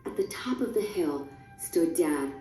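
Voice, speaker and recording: A woman's voice: low-pitched, expressive, a little slow, dark tone. A woman reading a scripted storybook narration for a read-along recording. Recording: roomy, distant, music underneath.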